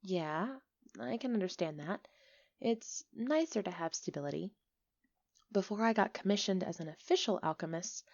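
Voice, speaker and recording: A woman's voice: mid-range voice, lightly acted. Woman acting a bubbly village alchemist, slightly muffled. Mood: candid. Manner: bubbly, expressive, slightly flustered chatter. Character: friendly, chatty, excitable female village alchemist. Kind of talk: ASMR roleplay acting, one-sided conversation.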